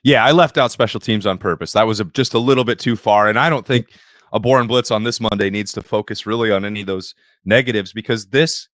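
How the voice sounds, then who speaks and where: Male podcast host, slightly hoarse, wry and opinionated, dry close sound. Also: fairly low pitch, fast talker, fairly bright tone, no room sound. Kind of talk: sports podcast talk.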